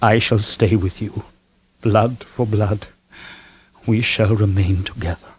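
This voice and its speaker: An actor playing a devoted, anguished young Victorian husband, emotional and pleading, in a 1970s radio drama. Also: male voice, on the low side, fairly even intonation, slow pace, slightly rough, full character voice, low-quality recording.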